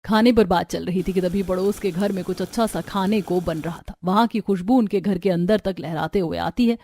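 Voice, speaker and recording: A woman's voice: on the low side, a little fast, dark tone. A woman reading scripted story narration as the narrator.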